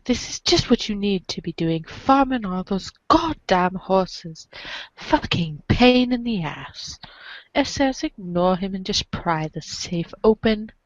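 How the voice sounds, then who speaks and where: A woman's voice: mid-range voice, animated, slow pace, no room sound, muffled recording. A woman reading a comic story aloud on a group podcast. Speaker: podcast reader. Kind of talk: dramatic reading of a webcomic.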